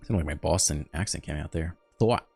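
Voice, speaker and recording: A man hosting a card game video, thinking aloud in a relaxed, chatty way as he plays. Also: very deep voice, a little fast, soft background music. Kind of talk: casual live gameplay commentary.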